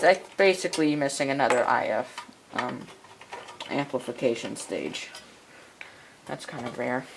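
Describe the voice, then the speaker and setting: A man with a creaky, gravelly voice talks casually through hands-on repair work. He is halting and mutters small asides, over clear background noise and clicks. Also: a little high. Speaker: radio repair hobbyist. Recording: clearly noisy workshop recording with clicks.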